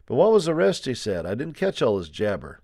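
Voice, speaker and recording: A man's voice: medium pitch, huge pitch swings, fairly quick, gravelly, mellow, full character voice. A man voicing an ordinary soldier in an audiobook story, dismissive and puzzled, with an offhand colloquial manner. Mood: puzzled, scoffing.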